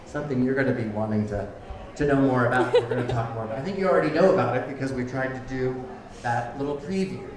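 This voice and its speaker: A man's voice: mid-range voice, fast, a crowd in the background. A man hosting a live community event in the back room of a bar, giving loose, chatty opening remarks with many ums. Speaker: event host. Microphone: handheld microphone from a distance. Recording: echoey room, far from the mic, faint noise.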